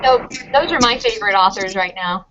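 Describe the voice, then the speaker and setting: A woman's voice: medium pitch, a little fast. A middle-aged American woman, a university professor, answering questions in a friendly, chatty way with frequent ums. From United States. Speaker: university professor of teaching methods. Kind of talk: casual interview answers. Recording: dull lo-fi audio with clear noise.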